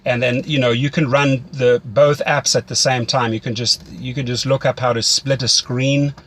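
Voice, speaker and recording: Male mentor, medium pitch. Instructive but conversational, leans on repetition for emphasis. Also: flat delivery, moderate pace, a bit bright, a little hiss or hum. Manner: instructive, repeats phrases for emphasis. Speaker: rideshare driving coach.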